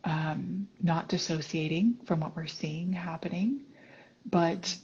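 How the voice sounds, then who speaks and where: A woman hosting a reflective conversation, speaking earnestly and warmly affirming her guest, recorded on a remote call mic. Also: fairly low pitch, steady tone, slow, husky, highs cut off. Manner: earnest, affirming, many soft agreements. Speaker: podcast host.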